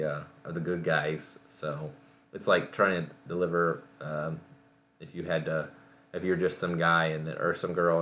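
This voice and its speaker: A man with a low voice talks casually on his own as a podcast host. He rambles along haltingly, doubling back to correct himself. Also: fairly bright tone, a little hiss or hum. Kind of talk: casual solo talk reviewing entertainment.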